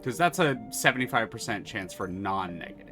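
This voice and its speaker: A man playing a video game on stream, thinking his moves through aloud and swearing when excited. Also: on the low side, fairly quick, music in the background.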